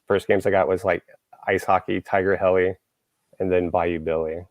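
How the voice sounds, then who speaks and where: A man's voice: sits low, little pitch movement, moderate pace, no echo. A man from Texas, hosting a show and chatting casually, rambling with lots of fillers and restarts. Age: adult, grew up in the 1980s. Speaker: show host. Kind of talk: casual podcast chat.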